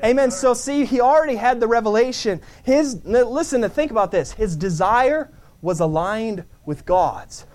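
Fervent male preacher, high-pitched, amen-peppered delivery, rising to shouts. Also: lively intonation, normal speed. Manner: fervent, punctuates with amen and hallelujah; builds to shouting. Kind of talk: sermon.

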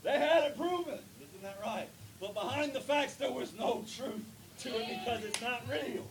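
A man preaching a sermon in church, reading scripture, praying and building to loud emphatic points with drawn-out words. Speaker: pastor. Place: church sanctuary. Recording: noisy with low rumble.

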